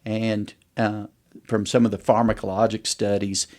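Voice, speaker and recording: A man's voice: lowish voice, level tone, normal speed, a little mellow. A middle-aged man speaking as an allergist guest on a podcast, explaining things conversationally with illustrative examples.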